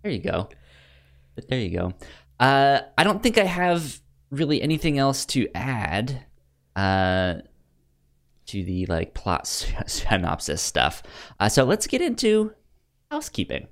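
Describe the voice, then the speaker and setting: A man's voice: medium pitch, huge pitch swings, measured pace. A man co-hosting a podcast in casual, informal, conversational chat. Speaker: podcast co-host.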